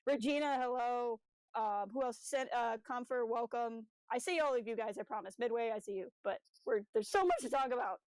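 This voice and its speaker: A woman's voice: a little high, fairly quick, smooth, no room sound, low-quality recording. A woman hosting a casual fan podcast, talking with geeky enthusiasm and playfully voicing imagined dialogue as she goes. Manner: animated fangirl enthusiasm, acting out imagined dialogue. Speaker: podcast host. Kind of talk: casual chat about a fan audio drama.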